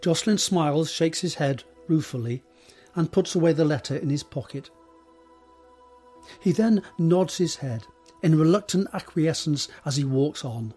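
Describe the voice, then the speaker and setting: A man serves as narrator for an audio drama, reading scripted scene description. His voice is rather high and breathy, with faint music underneath. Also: lively intonation, normal speed, mellow.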